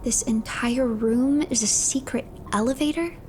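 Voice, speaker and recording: A woman narrating a video game playthrough as a dramatic story, in a theatrical, deliberate manner. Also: a little high, darkish tone, slight background noise.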